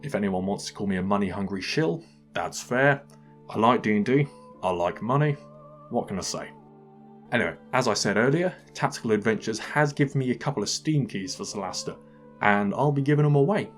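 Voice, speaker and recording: Low-voiced man narrating a game review. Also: lively intonation, brisk, soft background music. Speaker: video game reviewer, channel host. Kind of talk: scripted comedic review narration.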